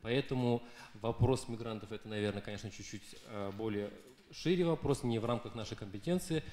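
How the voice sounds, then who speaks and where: A man's voice: on the low side, fairly quick, warm tone. A man responding to a conference talk with rambling, hesitant remarks full of fillers. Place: conference hall. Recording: echoey room.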